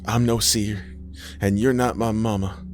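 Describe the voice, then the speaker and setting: Exhausted dying woman for horror audiobook, played by a man: lifted pitch, pleading, defiant, faint music under. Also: fairly even intonation, unhurried, lightly acted. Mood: frightened defiance. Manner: weary, pleading.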